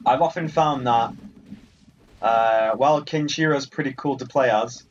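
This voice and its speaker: Male gamer for casual playthrough commentary, mid-pitched, offhand and wry, dull lo-fi mic with some background noise. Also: unhurried. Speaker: gaming video host. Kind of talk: casual let's play commentary.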